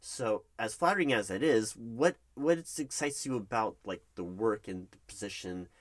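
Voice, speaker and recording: A man's voice: on the low side, lively intonation, moderate pace, lightly acted. A man playing a nervous, polite recruitment manager in an ASMR interview roleplay, hesitant and stammering as he stays diplomatic. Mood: awkwardly flattered. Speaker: job interviewer. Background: computer keyboard typing.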